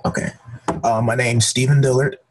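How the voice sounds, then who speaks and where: A man's voice: mid-range voice, level tone, normal speed, a little mellow, slight background noise, poor audio. A man from Houston, a student, introducing himself plainly with a few hesitations. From Houston, Texas, USA.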